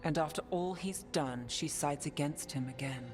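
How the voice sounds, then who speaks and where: Woman acting a deep-voiced, shrewd adventurer. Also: normal speed, natural voice. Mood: wary. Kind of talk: dramatic video game dialogue. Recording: music behind the voice.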